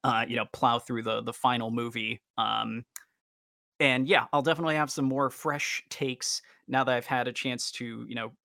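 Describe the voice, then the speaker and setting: A man's voice: mid-range voice, fairly even intonation, normal speed, creaky, bright, forceful tone, no echo, clean studio sound. A man hosting a casual podcast, talking in wordy, roundabout and slightly formal phrases. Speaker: podcast host.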